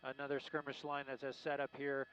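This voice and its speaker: Halting newsman on a muffled live feed. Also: mid-range voice, monotone, fairly bright tone. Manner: halting, searching delivery. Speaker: helicopter news reporter. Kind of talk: live unscripted aerial news commentary. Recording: muffled lo-fi live broadcast with faint noise.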